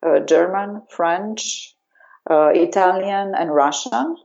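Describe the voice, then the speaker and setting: A woman's voice: fairly low pitch, slow, creaky, darkish tone, lo-fi sound. A woman from Romania with a Romanian accent, a yoga teacher speaking in an interview, hesitant with many fillers. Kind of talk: remote interview.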